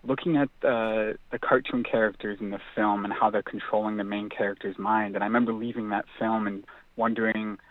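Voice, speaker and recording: A young man speaks earnestly over a tinny phone line, a little low in pitch. He reflects on his words with plenty of fillers. The sound is dry and close. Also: steady tone, brisk, dry recording. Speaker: author and mental health advocate, guest. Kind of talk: phone-in radio interview.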